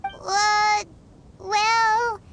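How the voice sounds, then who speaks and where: A woman's voice: level tone, very slow, slight background noise, heavily acted. A woman voicing a tiny, chirpy girl companion who is blunt and curious. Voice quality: very high squeaky voice. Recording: game cutscene with loud music.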